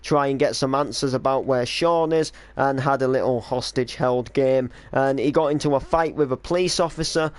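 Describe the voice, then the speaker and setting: A man's voice: medium pitch, fairly even intonation, normal speed, few pauses. A man reminiscing casually on a stream as the creator of an online drama, explaining storylines in an informal, rambling way.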